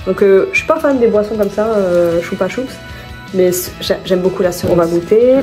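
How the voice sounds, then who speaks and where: Woman vlogging her grocery haul from home, rambly and self-correcting, warm mellow voice, faint music under. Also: medium pitch, moderate pace. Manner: chatty, rambling, self-correcting, laughs at herself. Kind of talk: casual vlog chat, showing her shopping haul.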